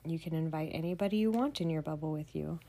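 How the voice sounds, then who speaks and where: A woman leading a children's bedtime meditation in a soothing, gentle manner, often dropping to a breathy near-whisper. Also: low-pitched, quick pace. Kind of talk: guided bedtime meditation for kids.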